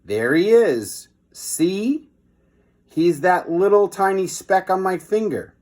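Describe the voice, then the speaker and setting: A man voicing an excitable young schoolboy in a storybook reading, with drawn-out, exclaiming calls. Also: sits high, pitched above the performer's own voice. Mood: excited.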